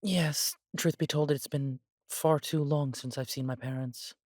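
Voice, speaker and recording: A man playing a humble, self-doubting immortal elf who runs a coffee shop in a fantasy audio drama, talking in a gentle, chatty way. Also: fairly high pitch, fairly even intonation, unhurried, no echo, clean studio sound. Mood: warm, friendly.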